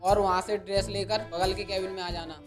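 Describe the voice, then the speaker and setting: A man's voice: high voice, level tone, fairly quick, soft background music, natural voice. A man playing an instructing, matter-of-fact hospital doctor in a cartoon horror story. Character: male hospital doctor, calm, instructing, in charge.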